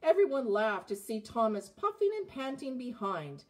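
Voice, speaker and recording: A woman in her forties reading a children's picture book aloud, with expressive phrasing and a slightly husky voice. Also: on the high side, normal speed. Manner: expressive, clearly phrased narration with asides about the pictures. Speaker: storybook reader. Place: indoors, child's playroom.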